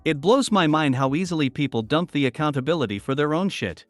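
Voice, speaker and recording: A man narrating online posts aloud in a steady read-aloud delivery. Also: fairly high pitch, a little fast, no echo, soft background music.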